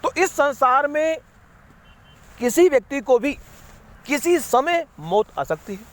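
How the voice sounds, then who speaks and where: A man's voice: very high voice, expressive, slow pace. A man giving an unscripted spiritual talk, rambling and repeating himself, slipping English words into his sentences. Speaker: spiritual speaker.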